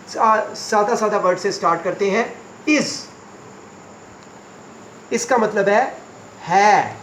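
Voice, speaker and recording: A man teaching spoken English to beginners, going word by word and repeating each meaning slowly. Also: high voice, roomy sound, a little hiss or hum, rough recording. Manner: slow, repetitive word-by-word explanation.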